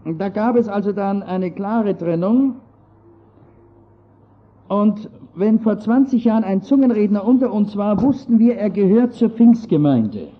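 A man's voice: high voice, animated, unhurried. A man with an Austrian accent, somewhat Germanized, giving an earnest, digressive talk on church history to an audience. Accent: Austrian German, partly Germanized. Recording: dull lo-fi audio.

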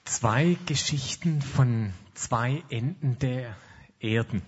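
A man's voice: mid-range voice, slow, long reverb tail, highs cut off, noisy background. A man moderating a conversation, asking thoughtful questions. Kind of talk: interview questions.

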